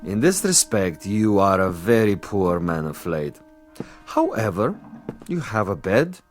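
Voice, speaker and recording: A suave commissar who kills as an art. His low voice swoops expressively through every line, mock-courteous and quietly menacing. He savors his words theatrically, with music underneath the drama. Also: very animated, unhurried. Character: suave, cultured, menacing male commissar, an assassin. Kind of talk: dramatic TV-style dialogue acting.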